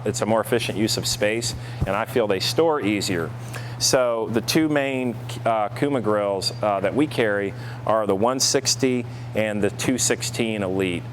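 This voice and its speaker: A man giving a casual product review as store staff, explaining informally with hesitant pauses. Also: lowish voice. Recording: noticeable background hum and noise.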